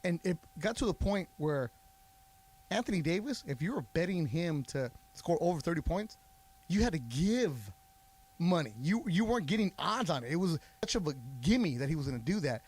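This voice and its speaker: A man's voice: fairly high pitch, big pitch swings, moderate pace, darkish tone, breathy edge, low-quality recording. A man co-hosting a sports talk radio show, explaining at length with a confident, conversational delivery. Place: radio studio. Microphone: studio mic.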